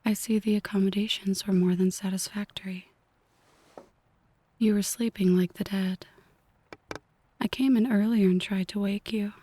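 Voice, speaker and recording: Woman acting a hushed, possessive female mafia boss. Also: mid-range voice, fairly even intonation, close to an everyday voice. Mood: teasing, menacing. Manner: hushed, half-whispered, slow, mock-affectionate. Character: possessive yandere female mafia boss, coolly menacing and teasing. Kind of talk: ASMR roleplay.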